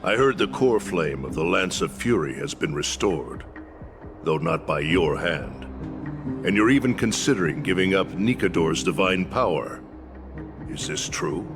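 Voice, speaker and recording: Wily old warrior mentor for a game, slow grand drawl, music loud behind. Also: male voice, low-pitched, animated. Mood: stern, probing. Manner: grand, theatrical storytelling drawl. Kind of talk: video game voice acting. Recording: long reverb under loud music.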